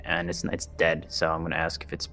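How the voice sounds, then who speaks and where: A man's voice: low voice, completely flat delivery, fairly quick, bright, forceful tone, faint music behind. A man playing a tabletop roleplaying game, chatting casually about his moves with the group.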